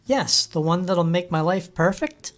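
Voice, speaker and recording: A man putting on a bright young woman's voice. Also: sits high, lively intonation, unhurried, voice pushed up. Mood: friendly. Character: friendly young woman house hunter. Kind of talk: audiobook character voice.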